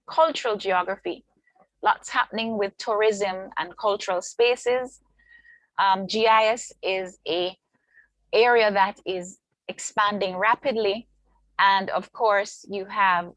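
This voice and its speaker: A woman lecturer giving an online orientation talk over a video call. Her voice has a gravelly, fry-tinged edge, and the sound is close and dry. She lists points steadily, pausing now and then with "um". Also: medium pitch, a bit bright, no room sound, poor audio. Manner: measured, list-like delivery with frequent ums. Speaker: university lecturer presenting her department.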